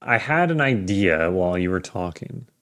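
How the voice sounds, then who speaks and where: Man casually pitching an idea. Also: big pitch swings, moderate pace, fairly bright tone. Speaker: podcast co-host. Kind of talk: casual chat.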